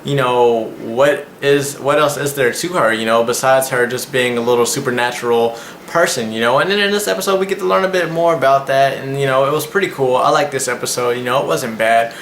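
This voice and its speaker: A man's voice: medium pitch, fairly even intonation, a little fast, a bit bright. A man in his twenties hosting a casual anime review, rambling and slangy as he recaps. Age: 20s. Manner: slangy, rambling, loose phrasing. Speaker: anime reviewer and channel host. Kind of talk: casual vlog episode recap. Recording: echoey room with faint background noise.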